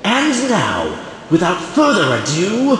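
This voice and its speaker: A man's voice: huge pitch swings, slow pace, exaggerated voice. A man playing a showy, grandiose film premiere announcer addressing the public in an audio drama. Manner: grandiose, theatrical. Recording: booming echoey PA, loud noisy crowd.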